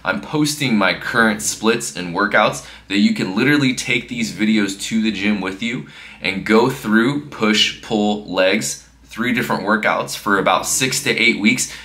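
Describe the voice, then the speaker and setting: A man's voice: fairly low pitch, unhurried, pushed and bright. A man in his thirties giving casual workout instruction in an upbeat coaching tone, from a living room and then a gym. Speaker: fitness vlogger.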